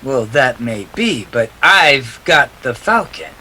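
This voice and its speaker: Man playing a bright-voiced, hard-boiled noir detective. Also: medium pitch, very animated, takes it slowly, slight background noise, full character voice. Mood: firm bargaining. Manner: hard-boiled, clipped, defiant. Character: tough, wisecracking male private detective in 1940s noir. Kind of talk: 1940s radio drama acting with first-person narration.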